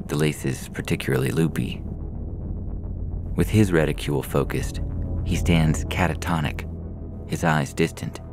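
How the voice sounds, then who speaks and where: A man's voice: a bass voice, gravelly. A man reading a science fiction story aloud as a scripted narration.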